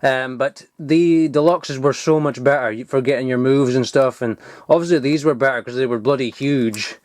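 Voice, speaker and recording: A man, chatting casually and excitedly while digging through old toys, rambling and swearing now and then. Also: medium pitch, moderate pace, dry recording. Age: 20s. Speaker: toy collector vlogger. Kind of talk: casual unboxing chat.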